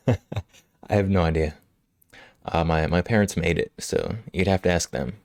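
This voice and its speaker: A male livestream host chatting casually with viewers, slightly hoarse from a cold, relaxed and quick to laugh. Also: sits low, no echo, clean studio sound. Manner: relaxed, laughs often, answers chat. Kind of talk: casual chat with live chat. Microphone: studio microphone.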